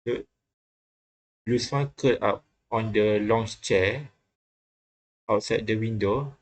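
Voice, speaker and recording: A man reading visual novel text aloud in a halting way, often stumbling and repeating phrases. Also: lowish voice. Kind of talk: halting read-aloud narration of game text.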